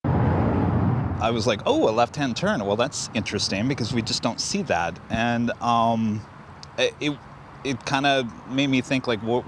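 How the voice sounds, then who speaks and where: A man's voice: medium pitch, expressive, a little slow, noisy background. A man in an interview recounting what he saw in casual, conversational speech. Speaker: interviewee, eyewitness plane spotter. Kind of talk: casual spoken recollection.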